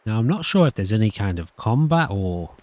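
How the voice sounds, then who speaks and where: A man's voice: fairly low pitch, very animated, brisk, darkish tone, no echo. A man giving casual commentary as he plays through a game. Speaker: gaming video host. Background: game music.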